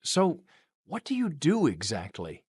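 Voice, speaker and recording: Woman acting a curious conversation partner, low voice, hugely animated intonation, scripted lesson dialogue. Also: measured pace, slightly rough, no echo, full character voice. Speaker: voice actor in English lesson dialogues.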